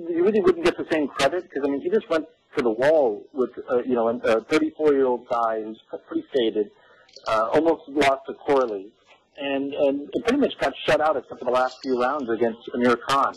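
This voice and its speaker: A man's voice: medium pitch, a little hiss or hum, rough recording. A man asking long, rambling interview questions.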